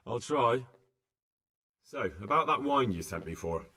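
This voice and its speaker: A man's voice: medium pitch, animated, takes it slowly, bright, forceful tone. A man playing a plucky young servant in a video game, cheeky yet deferential to his lord. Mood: cheeky, insistent. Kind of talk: video game cutscene acting.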